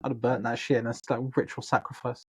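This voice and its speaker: A man's voice: medium pitch, flat delivery, fast talker, darkish tone, breathy edge. A man co-hosting a casual podcast, reading posts aloud and joking around with a sweary, laid-back manner. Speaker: podcast co-host.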